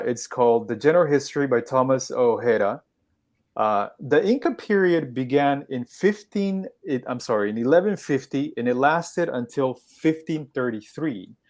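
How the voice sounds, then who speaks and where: A man from Peru speaking on a podcast, explaining things in a measured way with pauses and restarts. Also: mid-range voice, darkish tone. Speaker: podcast guest. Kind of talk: conversational podcast talk.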